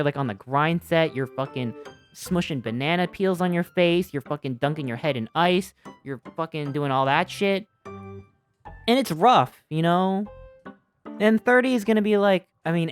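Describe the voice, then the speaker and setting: Profane, slangy streamer in their thirties over music. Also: medium pitch. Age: 30s. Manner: profane, slangy, self-deprecating, animated when riffing. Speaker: video game livestreamer. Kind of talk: casual livestream chat over gameplay, reading and answering viewer comments. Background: game music.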